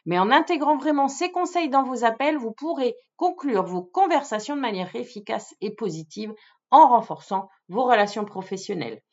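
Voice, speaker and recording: Lady doing a tutorial like a sales coach, animated intonation, teachy step by step, sound kinda muffled. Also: a little high, normal speed. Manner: didactic, step-by-step explaining. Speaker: phone sales coach presenting a tips video. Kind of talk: instructional talk to viewers.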